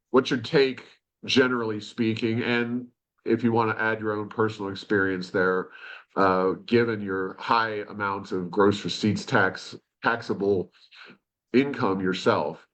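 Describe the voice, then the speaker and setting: Lower-voiced man discussing policy. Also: steady tone, a little slow, fairly bright tone, rough recording. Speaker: podcast host.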